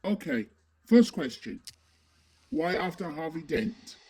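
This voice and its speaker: A woman playing a stern, determined masked vigilante in a superhero audio drama, with a low, husky voice and terse, serious manner. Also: lively intonation, slow, dark tone, heavily acted.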